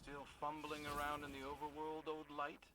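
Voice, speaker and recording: Man playing wry scout under loud ambience. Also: on the high side, monotone, normal speed, heavy background noise, close to an everyday voice. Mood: wry, advising. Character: wry, defiant younger scout, loyal and cautious. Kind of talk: dramatic video game voice acting. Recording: loud engine-like game ambience behind the voice.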